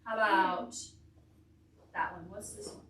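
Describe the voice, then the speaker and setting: A woman's voice: mid-range voice, fairly even intonation, a little slow, sharp tone. A woman leading a preschool storytime, encouraging small children with praise and simple instructions. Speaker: children's storytime leader at a public library. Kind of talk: preschool storytime teaching, alphabet and counting songs. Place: indoor room at a library. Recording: echoey room, distant mic, clear background noise.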